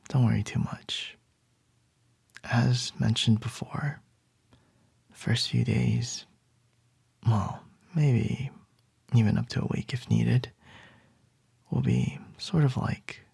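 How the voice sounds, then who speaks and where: A man playing a calm, charming male CEO boss in an ASMR roleplay, soft and reassuring with a light teasing edge. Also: medium pitch, creaky, breathy edge, dry recording, exaggerated voice.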